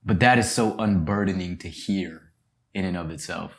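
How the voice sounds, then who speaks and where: A young man co-hosting a podcast, thinking aloud in a halting, hesitant way. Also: low voice. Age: young adult. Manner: halting, hesitant phrasing with fillers. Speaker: podcast co-host. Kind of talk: casual podcast chat.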